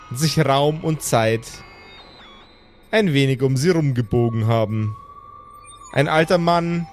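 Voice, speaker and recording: A man narrating an improvised audio play as game master, with dramatic storytelling. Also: medium pitch.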